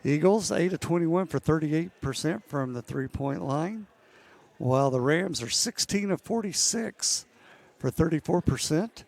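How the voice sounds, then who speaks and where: A man calling a women's college basketball game play-by-play, losing his voice and clearing his throat now and then. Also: a little high. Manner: steady, measured calls that rise on big plays. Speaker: play-by-play announcer.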